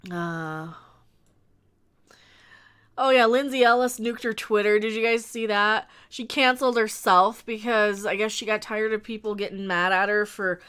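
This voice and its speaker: A woman's voice: mid-range voice, steady tone, unhurried, long pauses, a bit bright, clean tone. A sniffly woman hosting a casual live stream, rambling bluntly with restarts and asides to her chat.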